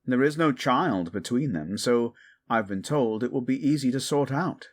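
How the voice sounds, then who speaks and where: A man's voice: mid-range voice, animated, moderate pace, lightly acted. A man playing a protective exiled prince standing in as king, firm, formal and weary, in a fantasy audio drama. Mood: stern, protective. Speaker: voice actor.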